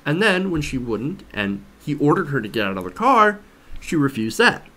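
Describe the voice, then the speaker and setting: A man's voice: fairly high pitch, sing-song, moderate pace, bright, forceful tone, rough recording. A man giving conversational news commentary as a host, skeptical and emphatic, often posing rhetorical questions. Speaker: host of a political commentary show.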